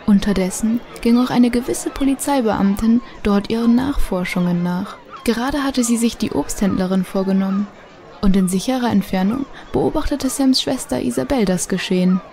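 A woman's voice: fairly high pitch, moderate pace, dark tone, some chatter behind, close to an everyday voice. A woman narrating a children's mystery audio drama in a scripted, suspenseful storytelling manner. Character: female storyteller of a children's mystery radio play.

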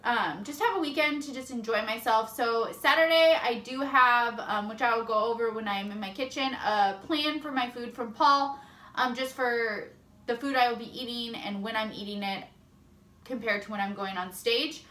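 A young woman with a high, bright voice talks casually to the camera like a fitness vlogger. She is upbeat and informal. The camera mic catches the echo of a room at home. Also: moderate pace. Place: indoors at home, kitchen and bedroom. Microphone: camera or phone a short distance away. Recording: roomy echo of home interior.

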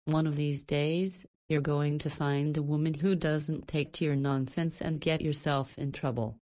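Visual novel matron: a woman as a motherly boss, deep for a woman, chatty, playfully chiding. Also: steady tone, a little slow, a little mellow. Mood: curious, chiding. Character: motherly, teasing woman running a halfway house.

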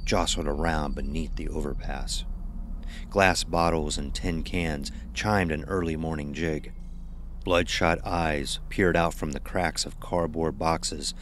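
A man narrating a scripted horror story in a literary, dramatic style. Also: extremely low pitch. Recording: noisy ambient sound bed.

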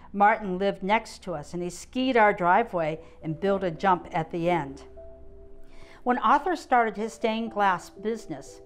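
An older woman, around her seventies, reading a written family memoir aloud indoors into a close mic. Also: mid-range voice. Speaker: guest reader. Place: indoor gallery room.

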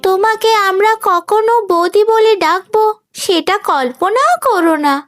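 A woman voicing a spiteful, sneering young unmarried sister-in-law in an animated moral story. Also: very high voice, unhurried. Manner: sneering, scolding.

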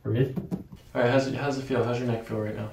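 A man's voice: medium pitch, fairly even intonation, fast, gravelly, a little mellow, slight background noise. A man in his thirties working as a chiropractor, talking a patient through a hands-on exam with instructions and short check-in questions. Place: small carpeted clinic treatment room. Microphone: microphone on a boom arm near the table.

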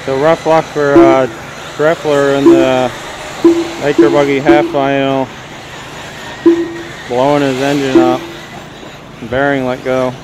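A man's voice: mid-range voice, little pitch movement, very noisy. A man giving casual play-by-play commentary on positions and crashes at an outdoor race. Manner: casual, quick running positions.

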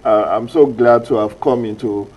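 A man's voice: medium pitch, normal speed, a little mellow, slight background noise, rough recording. A middle-aged Nigerian man hosting a morning TV interview in a studio, asking long, rephrased questions. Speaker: TV show host.

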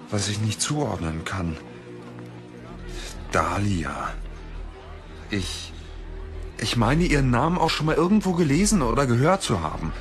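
Actor as sceptical young man, lively pitch. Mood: uneasy, hushed. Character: sceptical, self-reliant young man, wary of strangers. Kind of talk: German audio drama acting. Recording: music behind the voice.

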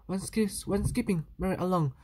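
A woman reading a children's picture book aloud, with a halting delivery and occasional stumbles. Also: fairly low pitch, dark tone. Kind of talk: children's story read aloud.

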